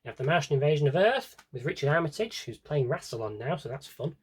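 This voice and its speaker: Man chatting, very lively pitch swings. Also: fast, slightly rough. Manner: enthusiastic, chatty, given to asides to himself. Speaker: video host showing a personal CD collection. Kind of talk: casual rambling show-and-tell chat.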